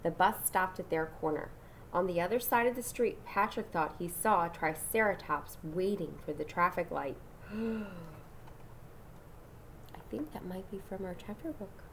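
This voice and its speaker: A woman's voice: on the low side, unhurried, slight background noise, low-quality recording. A woman reading a children's storybook aloud dramatically, with asides and questions to her young listeners. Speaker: storybook reader.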